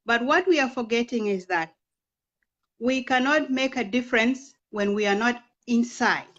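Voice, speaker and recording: Woman, 30s, raised in Kenya; earnest, persuasive interview guest, slightly higher voice, dull lo-fi sound. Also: normal speed, a bit bright. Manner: earnest, persuasive, asks rhetorical questions. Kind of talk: impassioned political talk.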